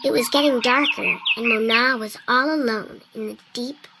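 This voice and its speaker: A woman's voice: on the high side, normal speed, lightly acted. A woman voicing a sweet little winged girl. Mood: storytelling. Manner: earnest, childlike storytelling. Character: small winged girl, sweet and eager storyteller. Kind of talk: video game dialogue. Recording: loud background noise.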